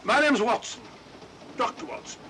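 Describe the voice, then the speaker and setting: An actor playing a bumbling older English doctor, blustering and hesitant, in 1940s film style. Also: male voice, very high-pitched, animated, normal speed, pushed and bright, heavily acted. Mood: flustered. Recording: noisy old 1940s film soundtrack.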